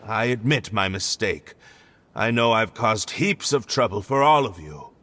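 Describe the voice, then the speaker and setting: Apologetic anime game young man, weary, lower-pitched with a sharp, forward tone. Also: lively intonation, measured pace, a little hiss or hum.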